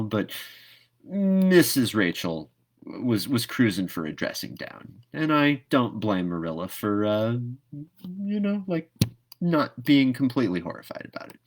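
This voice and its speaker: A man hosting a read-aloud, moving between storybook narration and casual, thoughtful commentary. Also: medium pitch.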